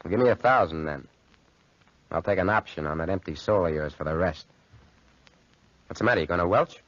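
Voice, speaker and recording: An actor playing a smug, crude young card cheat who taunts in cocky slang, in a 1940s radio horror drama. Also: male voice, deep voice, fairly quick, fairly bright tone. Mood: smug, taunting. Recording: muffled 1940s radio broadcast recording.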